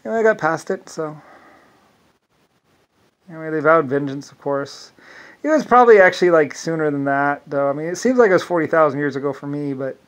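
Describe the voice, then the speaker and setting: Brief: male vlogger, higher-pitched, rambling delivery full of restarts and asides. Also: fairly quick. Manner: meandering, restarts often, many "anyway" asides. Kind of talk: rambling solo monologue.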